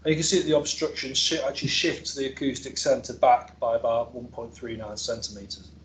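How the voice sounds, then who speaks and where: A man's voice: mid-range voice, level tone, brisk, slight background noise, rough recording. A male academic researcher presenting a technical seminar in an informal, explanatory way, with hesitations and self-corrections. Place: seminar room with an audience present.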